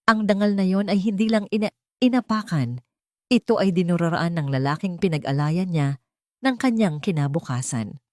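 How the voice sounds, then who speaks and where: A woman's voice: deep voice, a little mellow, dry recording, clean studio sound. A woman reading a scripted dramatic story as its narrator.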